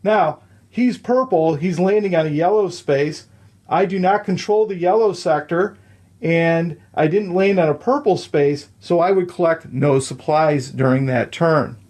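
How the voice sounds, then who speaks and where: A man with a slightly high voice walks through the rules of a board game step by step. He is patient and methodical, counting moves out loud and pausing to check that the listener follows. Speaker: explaining game rules at the table.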